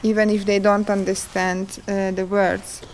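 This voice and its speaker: A woman with a Hungarian accent and Hungarian as her first language, leading a folk dance class and answering interview questions in slow, halting speech with frequent pauses. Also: mid-range voice, little pitch movement, a little mellow, a little hiss or hum.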